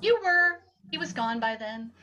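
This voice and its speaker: A woman's voice: fairly high pitch, animated, a little slow, bright, forceful tone. A woman playing a mischievous young girl, an artless little sister telling tales eagerly in a radio play, on a dull recording. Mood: mischievous, gleeful. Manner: teasing, eager storytelling. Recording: dull lo-fi sound, faint noise.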